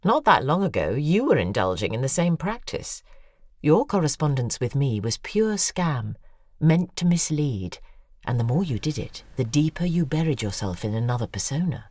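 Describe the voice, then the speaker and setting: An actress playing a persuasive, bitter middle-aged woman writer in an audio drama, intense and sardonic, with a husky voice. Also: extremely low pitch, big pitch swings, normal speed, a little mellow, no room sound, clean studio sound, full character voice. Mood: scornful.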